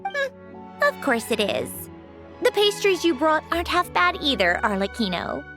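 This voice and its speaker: A woman's voice: sits high, wildly swooping pitch, a little slow, over music, heavily acted. A woman voicing a proud, reserved but grudgingly kind young woman in a video game, dry and slightly haughty. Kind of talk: English video game voice acting.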